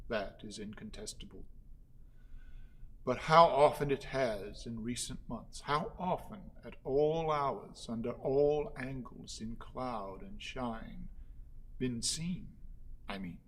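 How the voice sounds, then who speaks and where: A man acting a solemn, pedantic orator in a radio play, declaiming ornate lines in a measured, halting, rhetorical way. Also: medium pitch, a bit bright, rough recording. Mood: solemn, declamatory. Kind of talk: theatrical radio play monologue.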